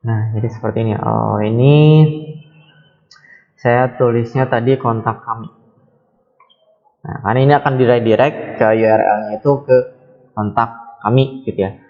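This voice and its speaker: A man's voice: mid-range voice, steady tone, normal speed, long pauses, lo-fi sound. A man giving a coding tutorial, talking unhurriedly with many fillers and self-corrections. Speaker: programming tutor. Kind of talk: screen-recorded coding tutorial.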